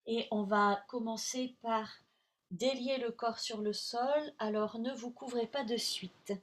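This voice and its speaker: A woman teaching yoga nidra, guiding relaxation in short, soothing, measured phrases with long pauses. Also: a little high, steady tone. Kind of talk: guided relaxation instruction.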